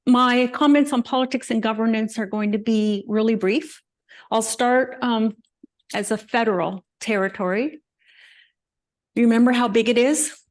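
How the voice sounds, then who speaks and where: An older woman, a native English speaker, giving a conversational slide lecture with frequent pauses and asides. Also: fairly high pitch. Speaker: guest lecturer. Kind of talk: slide presentation talk.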